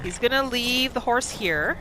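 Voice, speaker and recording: A woman giving casual, chatty roleplay commentary as the host of a gaming channel, narrating in an expressive way. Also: on the high side, measured pace, over music. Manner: expressive, chatty, narrating characters' thoughts in third person.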